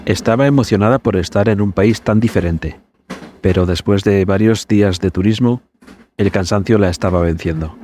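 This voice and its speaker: A man hosting a podcast, narrating a scripted story in a measured, suspenseful manner. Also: lowish voice, mellow, slight background noise.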